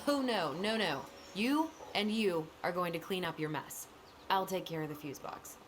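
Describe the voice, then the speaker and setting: A young woman with a lowish voice, thoughtful, firm and a bit skeptical , played by an actress. It is natural video game dialogue with faint noise behind. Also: moderate pace, close to an everyday voice.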